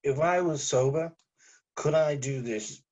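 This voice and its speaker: A man's voice: on the high side, measured pace, a little mellow. A man playing a jaded, sarcastic newspaper journalist in an amateur script table read, with theatrical delivery. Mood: tipsy bravado. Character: sardonic, jaded male newspaper journalist, drunk when young.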